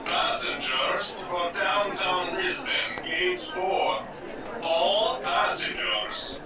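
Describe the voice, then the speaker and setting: A man acts a formal, high-pitched terminal announcer in a 1940s radio drama. His drawn-out calls echo heavily from afar over a loud crowd. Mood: formal, calling. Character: airport announcer calling passengers, formal and drawn-out. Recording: echoey, distant, loud crowd behind.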